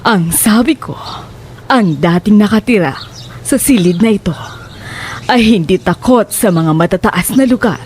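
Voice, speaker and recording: A woman's voice: medium pitch, expressive, a little slow, long pauses, darkish tone, slight background noise, close to an everyday voice. A woman playing a mysterious, unsympathetic older landlady in a horror audio drama, with an ominous, sly manner. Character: creepy, cryptic older landlady, defiant and unsympathetic.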